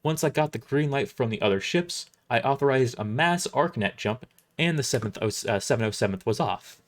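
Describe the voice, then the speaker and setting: A man's voice: mid-range voice, lively intonation, fairly quick. A man reading first-person science fiction narration live, now and then stumbling over the script and rereading words. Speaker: storyteller.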